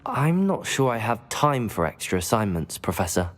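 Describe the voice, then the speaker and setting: A man's voice: fairly low pitch, big pitch swings, moderate pace, a little hiss or hum. A man playing a polite, earnest teenage wizard student in a video game, reserved. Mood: polite, reticent. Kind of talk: video game character acting.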